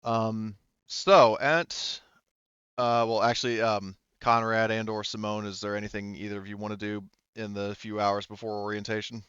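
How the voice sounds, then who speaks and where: Smooth low-voiced man running a tabletop game. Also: steady tone, normal speed, a bit bright, dry recording, muffled recording. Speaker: game master. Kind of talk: tabletop roleplaying game narration and rules talk.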